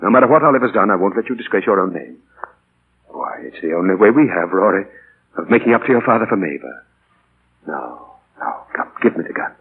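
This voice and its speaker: An actor playing a gentle, earnest middle-aged father in a 1940s radio drama, husky and hesitant in his tender pleading. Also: sits low, big pitch swings, a little fast, full character voice. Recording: muffled, band-limited 1940s radio recording.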